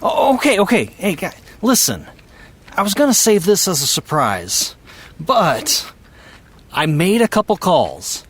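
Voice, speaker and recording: An actor playing a kindly middle-aged father who stammers as he tries to soften hard news. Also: mid-range voice, very animated, a little slow, husky, full character voice. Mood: encouraging. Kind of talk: audio drama acting.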